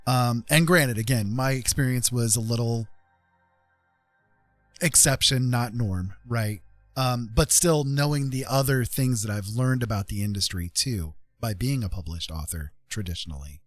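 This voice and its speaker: A man's voice: medium pitch, moderate pace, fairly bright tone, no room sound, faint music behind. A man chatting casually on a livestream, explaining things conversationally and often checking in with the listener. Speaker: streamer and self-published author.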